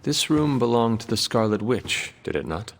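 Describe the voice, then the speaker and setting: A man's voice: medium pitch, sing-song, normal speed, vocal fry, in a large hall. A man playing a solemn, courteous ancient warrior in a video game, speaking in formal, old-fashioned phrases. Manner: formal, archaic phrasing without contractions. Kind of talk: video game cutscene acting.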